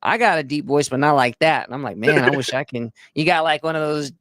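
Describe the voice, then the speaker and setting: A man's voice: on the high side, fairly quick, a bit bright, dry recording, studio quality. A man hosting a casual livestream talk, laid-back, laughing often and reading out chat comments. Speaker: livestream host.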